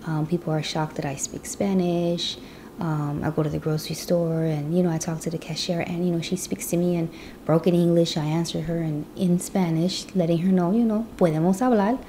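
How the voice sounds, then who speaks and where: Low gravelly-voiced woman chatting. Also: steady tone, a little fast, mellow, slight background noise. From Chicago, US Midwest. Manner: chatty, many fillers like "you know". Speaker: vlogger. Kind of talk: personal story vlog.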